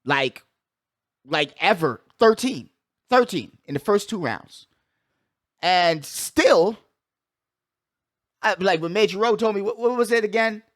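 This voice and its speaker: Higher-pitched man hosting sports talk. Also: animated, slow pace, long pauses, fairly bright tone, no echo, clean studio sound. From United States. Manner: slangy, emphatic, repeats catchphrases. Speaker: sports talk show host. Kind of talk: casual hyped sports chat.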